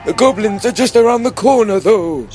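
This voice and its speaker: A man's voice: high-pitched, moderate pace, music in the background, close to an everyday voice. A man playing an eccentric, pompous old wizard in a comedic fantasy radio play, grand and theatrical in his delivery. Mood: alarmed, commanding.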